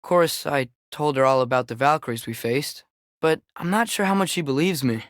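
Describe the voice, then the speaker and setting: Earnest, higher-voiced teenage boy played by a man. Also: a little fast, a bit bright, dry recording, clean studio sound, close to an everyday voice. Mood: casual, thoughtful. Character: curious, earnest teenage boy, adventurer in Norse myth. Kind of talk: naturalistic video game voice acting.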